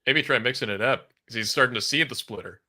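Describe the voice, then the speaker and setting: Very bright-voiced male sports co-commentator. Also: mid-range voice, quick pace. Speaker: color commentator. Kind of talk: casual sports banter.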